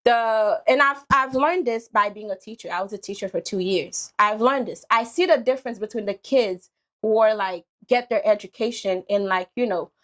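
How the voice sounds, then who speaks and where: A woman's voice: mid-range voice, normal speed, a bit bright. Woman, 20s, Haitian accent, podcast guest, reflective casual talk. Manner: reflective, many "you know" fillers. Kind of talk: casual conversational storytelling.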